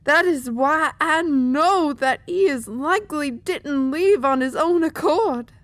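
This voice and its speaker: A woman's voice: high-pitched, slow, dry recording. A woman playing a weary, hard-bitten female pony mercenary commander in an audio drama, speaking in an earnest, world-worn way. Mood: worried, earnest.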